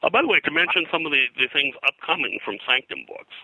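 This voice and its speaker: An older man, a pop culture historian being interviewed, reminiscing in a halting, digressive way full of detail. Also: fairly high pitch, very animated, vocal fry, pushed and bright, husky, no room sound, low-quality recording. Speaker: pop culture historian and comics colorist, guest.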